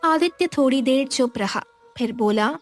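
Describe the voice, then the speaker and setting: High-pitched woman storyteller. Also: measured pace, soft background music. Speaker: narrator. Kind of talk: scripted story narration.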